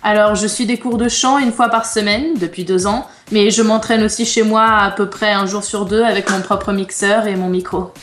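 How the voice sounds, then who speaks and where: A woman's voice: mid-range voice, steady tone, normal speed, few pauses. A bilingual French-Italian teenage girl from France, giving short, plain answers as a guest singer. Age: teens. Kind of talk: interview answers.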